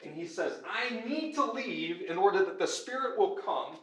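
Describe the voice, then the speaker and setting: A man giving an earnest, informal conference talk as a pastor and teacher, often checking in with his listeners with a quick "right?". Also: high voice, animated. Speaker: pastor, schoolteacher and conference speaker. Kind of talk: conference lecture with audience questions. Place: room at a church and school, before an audience. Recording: echoey room.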